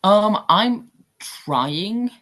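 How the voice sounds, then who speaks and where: A young man chatting casually on a podcast, rambling along with plenty of fillers. His voice jumps high and low as he talks. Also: animated, extremely slow, a bit bright. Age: young adult. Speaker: guest actor.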